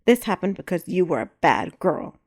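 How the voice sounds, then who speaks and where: Woman doing a gruff barking wolf man. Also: mid-range voice, very animated, moderate pace, darkish tone, lightly acted. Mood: barking, angry. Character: gruff grown male wolf, short-tempered, barking.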